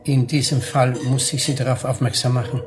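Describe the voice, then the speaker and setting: An actor playing a stiff, snooty head waiter in a radio play, with icily formal and condescending politeness. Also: male voice, mid-range voice, flat delivery, brisk, noisy background, close to an everyday voice. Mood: icily dismissive. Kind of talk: German radio play acting.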